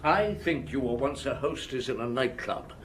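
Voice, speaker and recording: An actor playing a shrewd, world-weary male chief inspector in a detective audio drama, measured and probing. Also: medium pitch, expressive, slight background noise, lightly acted. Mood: probing, insistent. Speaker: lead actor and narrator.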